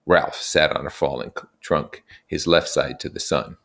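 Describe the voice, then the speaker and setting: A man reading a story aloud and explaining it with casual, expressive comments. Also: a bass voice, moderate pace, gravelly. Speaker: reader and teacher explaining a novel.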